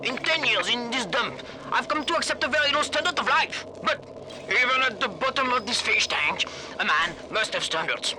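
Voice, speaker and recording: A snobbish Frenchman airs his indignation in a game audio diary. His French-accented voice is very high for a man and swings theatrically up and down. Clear noise runs through the recording. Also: heavily acted. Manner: theatrical, haughty, exasperated.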